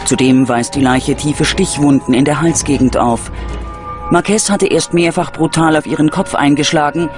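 A man narrating a scripted true-crime documentary in a dramatic, measured delivery. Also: fairly high pitch, fairly even intonation. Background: background music.

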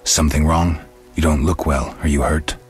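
A stoic, world-weary monster slayer in a fantasy video game. The voice sits extremely low, with terse, deadpan delivery. Faint music runs behind it. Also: male voice, normal speed. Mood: flat, reserved.

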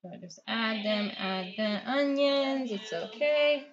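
A woman's voice: on the high side, lively intonation, takes it slowly. A woman in her thirties giving a casual, chatty home cooking vlog, talking viewers through each step while her hands are busy. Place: home kitchen, indoors. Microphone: built-in camera or phone mic within arm's reach. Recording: dull lo-fi audio, loud noise, clicking.

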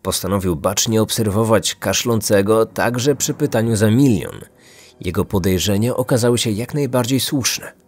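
A man's voice: on the low side, moderate pace, a little mellow. A man narrating a scripted true-crime story as a podcast host. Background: background music.

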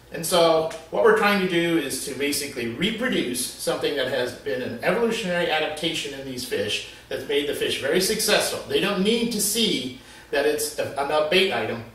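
A middle-aged man, an animal behaviorist, explains things patiently and steadily, often pausing on "uh". His voice rings around a very reverberant space. He sits a little back from the microphone. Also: medium pitch, a bit bright. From Florida Keys, USA. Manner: measured, explanatory, with frequent "uh" fillers. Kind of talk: explanatory video lesson. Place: covered porch with wooden wall. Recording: very echoey, slightly distant.

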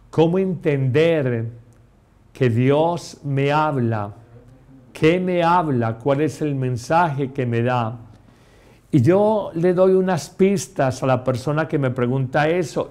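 A middle-aged man, a priest, giving a homily in a slow, emphatic way, speaking straight to the listener in a small chapel. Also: on the high side, a little mellow. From Colombia. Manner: slow, emphatic preaching, addressing the listener directly. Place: small chapel set, indoors.

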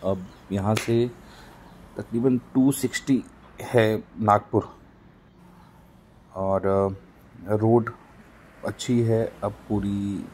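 A man vlogging a road trip from inside a moving car, narrating casually with frequent filler pauses. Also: fairly low pitch, fairly even intonation, a little mellow, rough recording. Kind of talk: casual narration while driving. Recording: car with faint road noise.

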